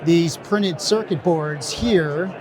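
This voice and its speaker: A man walking a reporter through radar hardware in a measured way, pausing on ums and uhs. His voice sits a little high. Clear background noise runs underneath. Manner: measured, filler-heavy explanation. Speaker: technical expert. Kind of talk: explaining equipment.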